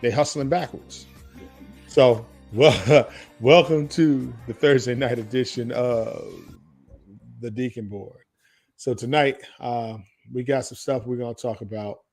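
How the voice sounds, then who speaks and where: A man's voice: medium pitch, fairly even intonation, a little slow, darkish tone, soft background music. A man co-hosting a podcast in casual, slangy chat, with some swearing. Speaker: podcast co-host.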